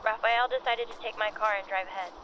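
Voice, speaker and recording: A woman's voice: mid-range voice, fairly even intonation, fast talker, natural voice. An actress playing a puzzled young woman on a call in an audio drama, in a muffled recording with faint noise. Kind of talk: naturalistic audio drama dialogue.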